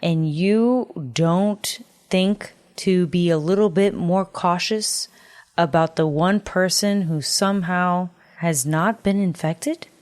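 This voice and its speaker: This woman speaks in a fairly low register, recorded close and dry. She vents with exasperation and heavy emphasis, piling up slang and swearing. It is the loose, casual style of a podcast co-host. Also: takes it slowly, darkish tone, no room sound. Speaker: podcast co-host reviewing a horror film. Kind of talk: casual, profane film discussion.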